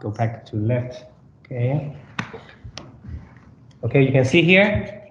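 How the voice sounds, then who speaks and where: Slow-talking man demonstrating products, roomy echo. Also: mid-range voice, animated. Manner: halting, simple non-native English with repetitions. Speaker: product presenter. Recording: roomy echo, dull sound, faint noise.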